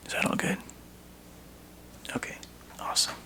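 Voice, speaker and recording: A man speaking softly and breathily close to the microphone in an ASMR roleplay, playing a laid-back, wry video game store clerk who chats casually with a customer. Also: moderate pace. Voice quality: breathy whisper and soft low murmur. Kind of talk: ASMR roleplay, one-sided customer chat.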